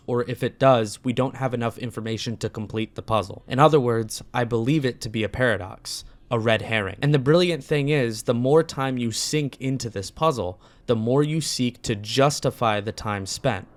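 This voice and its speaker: Male video essayist, mid-pitched, deliberate and earnest, scripted analysis read with emphatic phrasing.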